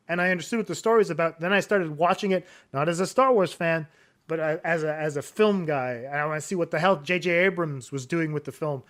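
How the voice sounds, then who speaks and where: Higher-voiced man hosting a casual podcast. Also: fairly bright tone. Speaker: podcast host. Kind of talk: casual film podcast chat.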